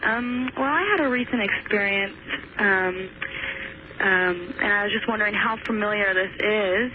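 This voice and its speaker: A young woman phones in to a radio show and tells a story in a casual, tentative manner. Her mid-pitched voice comes down a tinny line with faint noise. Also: normal speed. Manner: tentative, hesitant. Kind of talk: casual recounting of a personal experience. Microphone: telephone.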